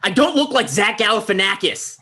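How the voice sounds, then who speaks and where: Man acting a self-important host, awkward, stammering, animated pitch swings, comedy table read. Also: fairly quick, slightly rough, full character voice. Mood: exasperated. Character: insecure, self-important male host of a small online show.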